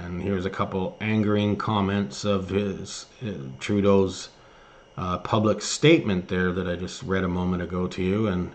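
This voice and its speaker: A man hosting an online news commentary, reading articles aloud and remarking on them somewhat haltingly. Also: on the low side. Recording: noticeable background noise.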